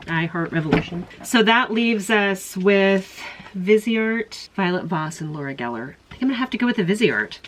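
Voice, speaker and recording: A woman with a low speaking voice who sounds congested, chatting casually as she does her makeup in front of the camera. She rambles and questions herself out loud. A faint hiss sits under the recording. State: congested from illness. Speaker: beauty vlogger. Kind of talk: casual makeup try-on chat.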